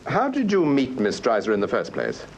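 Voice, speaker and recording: Posh english gentleman sleuth, rp, calm but probing questions, very varied intonation, radio play, slight hiss. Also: mid-range voice. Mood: probing, composed. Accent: English Received Pronunciation. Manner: urbane, measured questioning. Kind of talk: British radio drama acting.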